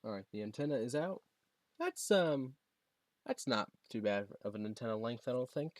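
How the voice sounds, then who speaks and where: A man talking casually and haltingly, thinking aloud as he demonstrates a gadget he is setting up. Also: medium pitch, animated, darkish tone, no room sound, clean studio sound. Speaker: product reviewer demonstrating a gadget. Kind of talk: casual unscripted unboxing and setup demo.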